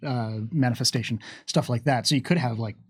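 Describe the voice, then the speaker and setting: A man hosting a livestream Q&A in an unscripted discussion, sometimes halting as he searches for words. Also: mid-range voice, breathy edge, no room sound, clean studio sound. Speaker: host of a livestream Q&A.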